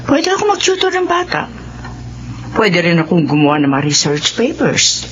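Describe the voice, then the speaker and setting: Weary tender mother, middle-aged, Filipino, radio drama, very lively pitch, dull noisy audio. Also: moderate pace. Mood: earnest, burdened. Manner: tender, expressive. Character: devoted, struggling middle-aged mother, weary but resolute.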